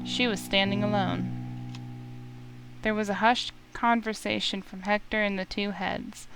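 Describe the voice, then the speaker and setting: Mid-pitched woman narrating over loud music. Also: fairly even intonation, a little slow, gravelly, rough recording. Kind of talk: dramatic story narration, reading a visual novel.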